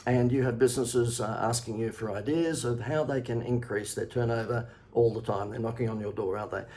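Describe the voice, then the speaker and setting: A man in his sixties with an Australian accent, a marketing consultant giving a chatty, persuasive sales pitch from a home office, often pausing with "uh". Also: fairly even intonation, warm tone. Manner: chatty, persuasive, many "uh" fillers. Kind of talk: direct-to-camera sales pitch.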